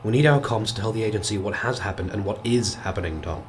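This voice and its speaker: A man's voice: fairly low pitch, slight background noise, natural voice. A man playing a weary, strained mission commander in a science fiction audio drama, terse and authoritative. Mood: urgent, commanding. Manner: terse, authoritative, halting when reflective.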